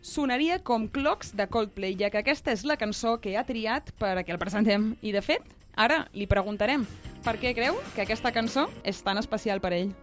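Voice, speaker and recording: A woman's voice: on the high side, lively intonation, normal speed, faint music behind. A woman with a Catalan accent co-hosting a talk show, moving between prepared introductions and casual banter. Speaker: co-host.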